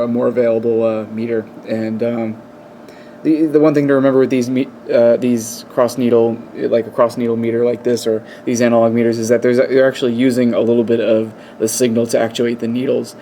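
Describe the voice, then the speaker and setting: Man with mellow, even voice, casually demonstrating. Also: medium pitch. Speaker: presenter of a radio equipment test. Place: indoors at a wooden workbench. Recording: faint room noise. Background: faint background noise.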